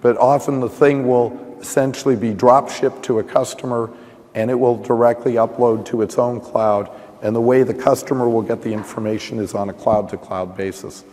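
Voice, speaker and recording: Hoarse man talking in a reverberant hall. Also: mid-range voice, fairly even intonation, moderate pace, dark tone. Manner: thinking aloud, with frequent restarts and "you know". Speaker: conference panelist. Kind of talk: technical conference talk. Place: conference session room.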